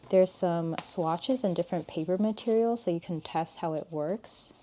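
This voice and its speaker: Low-key monotone young woman. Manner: calm, unhurried, says "like" often. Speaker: art YouTuber reviewing markers. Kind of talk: casual product review chat.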